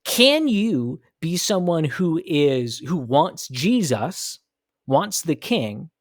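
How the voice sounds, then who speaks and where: A man's voice: a little high, very animated, takes it slowly, dry recording, clean studio sound. A man hosting a commentary podcast, arguing emphatically with rhetorical questions and repeated phrases. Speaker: podcast host. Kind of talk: opinionated commentary.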